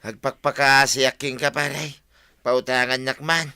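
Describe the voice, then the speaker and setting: An actor with an Ilocano Filipino accent playing a hot-tempered, gruff husband addicted to gambling in a radio comedy-drama. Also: male voice, medium pitch, little pitch movement, normal speed, pushed and bright, close to an everyday voice. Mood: pleading, wheedling.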